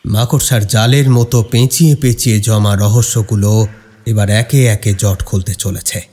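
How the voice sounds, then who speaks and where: A man with a husky voice narrating a scripted horror story in a dramatic way. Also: on the low side, fairly even intonation, moderate pace, a little mellow.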